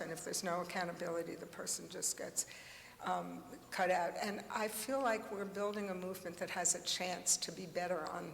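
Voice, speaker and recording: A woman in her seventies talks bluntly and with passion from a conference stage. Her voice rings through a large hall, with a long reverb trailing each phrase. A faint room hum sits under her words. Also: mid-range voice. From United States. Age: 70s. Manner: passionate, blunt, with laughs and asides. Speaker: panelist. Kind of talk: conference panel talk.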